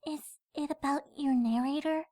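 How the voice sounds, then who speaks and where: A woman voicing a gentle, encouraging young female pony in a fan fiction audio drama. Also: high voice, steady tone, normal speed, no echo, clean studio sound, lightly acted. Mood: concerned. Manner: gentle, reassuring.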